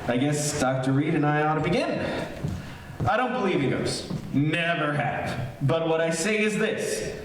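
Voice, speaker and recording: A man playing a jokey, skeptical broadcaster in an old-time radio drama, chatting in halting asides to his listeners. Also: fairly high pitch, lively intonation. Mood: jokey, nervy. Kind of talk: 1940s radio acting, live on-location commentary. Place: echoey hall, stage-style radio play performance. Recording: distant, very echoey room with faint noise.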